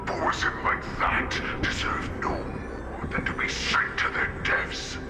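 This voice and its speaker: A man voicing a menacing ancient beast-man warlord in a video game, with a breathy, rasping, grandiose, echoing voice. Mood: bitter, defiant. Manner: grandiose, slow, deliberate villainous speech. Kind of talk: dramatic video game villain acting. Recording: echoing voice under loud game music.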